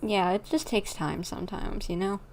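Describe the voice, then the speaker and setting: A woman streaming a game, reacting casually with drawn-out, sympathetic asides. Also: medium pitch, a little mellow, slight background noise. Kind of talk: casual live gameplay reaction.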